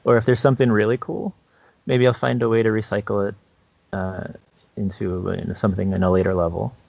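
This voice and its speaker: A man's voice: on the low side, fairly even intonation, fairly quick, creaky, darkish tone, no room sound. A man co-hosting a podcast, chatting casually in a hesitant, loosely phrased, easygoing way. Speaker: podcast co-host, game master. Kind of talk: casual chat giving gaming advice. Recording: dull, lo-fi, dry podcast audio.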